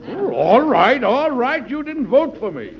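A man's voice: extremely high pitch, sing-song, a little slow, vocal fry, exaggerated voice. A man acting an elderly, stubborn, principled President with sputtering, emphatic delivery in an old-time radio drama. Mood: exasperated, pleading. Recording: dull lo-fi old radio recording.

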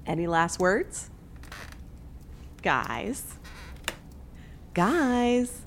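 A young woman played by an actress in an audio drama, feigning innocence while hiding a murderous streak. Her delivery is slow and very expressive in pitch, with some faint noise behind it. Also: gravelly, heavily acted. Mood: cold, menacing. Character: secretly murderous woman feigning innocence among old childhood friends.

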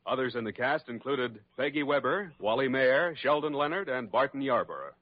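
A man's voice: mid-range voice, expressive, normal speed, no room sound. An American man announcing a scripted old-time radio show in a formal, theatrical manner. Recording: dull old radio broadcast recording. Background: prominent music.

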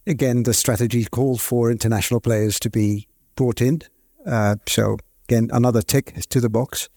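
A man speaks on a conference panel in a mid-range voice. He is measured and businesslike, and the sound is close and dry with almost no room. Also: mid-range voice, fairly even intonation, warm tone, dry recording. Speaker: league executive panelist. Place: conference stage.

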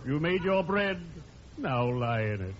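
An actor playing a morbidly genial undertaker in 1940s radio comedy, with a formal, ceremonious, droll manner. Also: male voice, fairly high pitch, sing-song, measured pace. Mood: pompous, droll. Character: morbidly genial, pompous small-town undertaker. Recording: muffled, noisy old radio recording.

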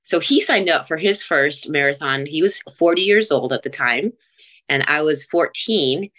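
Los Angeles'lı, otuzlarının sonunda bir kadın; oldukça kalın, alçak bir sesle, podcast konuğu olarak hikayesini anlatıyor. Heyecanlandığı yerlerde sesi yükseliyor, sık sık cümlelerine yeniden başlıyor ve o anki düşüncelerini tırnak içindeymiş gibi aktarıyor. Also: female voice, low-pitched, normal speed. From Los Angeles, USA. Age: late 30s. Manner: animated, rising to excited peaks when recalling; frequent restarts and quoted inner speech. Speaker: podcast guest, marathon runner. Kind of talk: conversational storytelling interview.

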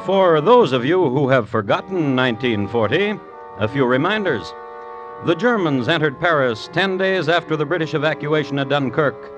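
A man's voice: fairly high pitch, lively intonation, lo-fi sound. Wry male old-time radio narrator. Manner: wry, deliberate, literary. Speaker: host and narrator. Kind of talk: 1950s radio scripted crime narration. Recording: old radio recording with music.

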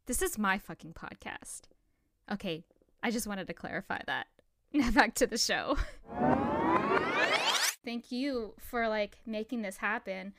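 A woman's voice: medium pitch, a little slow, gravelly, long pauses, a bit bright, slight background noise. A woman in her thirties hosting her own podcast in casual chat. She is upbeat and chatty, laughs a lot, and jokes with her guests. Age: 30s. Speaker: podcast host.

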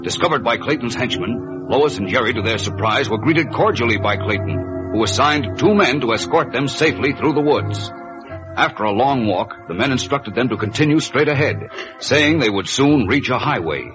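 A man's voice: mid-range voice, expressive, normal speed, music in the background. A man narrating a radio serial in a theatrical, portentous 1940s announcer style. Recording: dull old radio recording.

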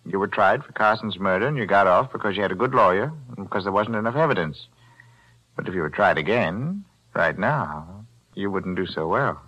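An actor playing a shrewd, greedy older pawnbroker in a 1940s radio drama, smug and coolly bargaining. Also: male voice, deep voice, animated, a little fast, fairly bright tone, lo-fi sound. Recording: vintage 1940s radio broadcast.

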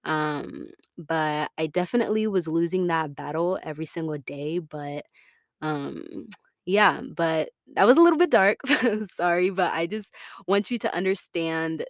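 Low-voiced young American woman, chatting candidly. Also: expressive, unhurried, no room sound, clean studio sound. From Florida, United States. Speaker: podcast host. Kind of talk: casual personal podcast monologue.

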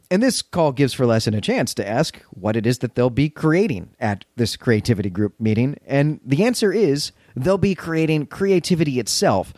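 A man's voice: medium pitch, a little mellow, no room sound, clean studio sound. A man co-hosting a podcast, retelling and discussing a story in an articulate, explanatory way. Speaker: podcast co-host. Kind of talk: literary recap discussion, semi-prepared retelling.

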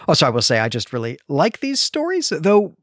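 A man's voice: on the high side, wildly swooping pitch, a little fast, fairly bright tone, dry recording, studio quality. A man co-hosting a literary podcast in a chatty, explanatory, digressive conversational way. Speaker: podcast co-host.

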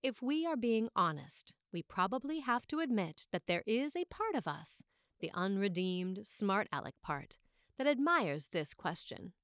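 A woman's voice: mid-range voice, big pitch swings, a little slow, a bit bright, smooth, no room sound. Woman reading a sermon in dry sound. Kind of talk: scripted sermon read aloud for a podcast.

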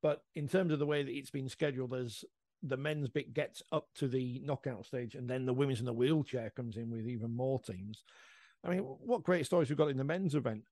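A man's voice: mid-range voice, a little fast, a little mellow, dry recording. A man hosting a casual sports podcast, chatting in an enthusiastic, conversational way. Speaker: podcast host.

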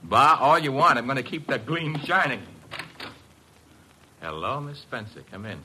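An actor playing a breezy, wisecracking detective's assistant, flippant and slangy, in an old-time radio drama. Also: male voice, mid-range voice, animated, normal speed, noisy background, close to an everyday voice. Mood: bantering.

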